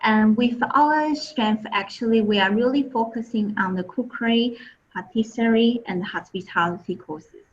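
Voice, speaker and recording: A woman's voice: medium pitch, muffled recording, a little hiss or hum. Hesitant Taiwanese-born woman presenter. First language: Chinese. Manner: hesitant, many fillers and restarts. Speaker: admissions and marketing officer. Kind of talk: online presentation.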